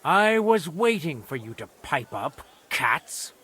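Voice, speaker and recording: An actor playing a stern, shrewd medieval nobleman in judgment, speaking in a measured, imperious and dryly sardonic way for a video game. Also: a little high, big pitch swings, bright, forceful tone, breathy edge, heavily acted. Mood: dry, reproachful. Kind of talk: dramatic video game acting.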